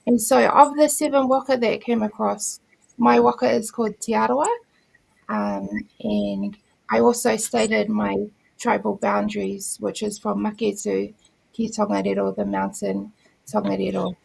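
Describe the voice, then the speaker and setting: Gravelly New Zealand woman, middle-aged. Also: mid-range voice, low-quality recording. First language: Māori. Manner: unhurried, formal greeting in Māori then warm explanation. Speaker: school principal and book circle guest. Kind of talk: casual introduction on a video call.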